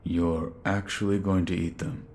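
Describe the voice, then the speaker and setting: A man playing a terse, loyal shinobi in a video game, solemn and restrained, with a gravelly voice. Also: low voice, little pitch movement, unhurried, a little mellow. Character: stoic loyal adult male shinobi serving a young lord. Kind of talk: video game cutscene acting.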